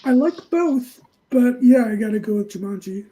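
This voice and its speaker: A man's voice: very high voice, lively intonation, moderate pace, mellow, slight background noise, rough recording. A man chatting casually as a panelist in an informal group discussion. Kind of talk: casual chat.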